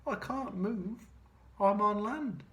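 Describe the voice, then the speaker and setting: Picture-book whale, enormous, male, moaning and helpless, voice lifted high; played by a male narrator. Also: slow pace, fairly bright tone, muffled recording. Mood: moaning, distressed. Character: huge male humpback whale, gentle, moaning, helpless when stranded.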